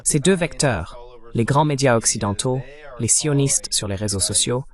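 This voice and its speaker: A man's voice: medium pitch, moderate pace. A man speaking in a political commentary style.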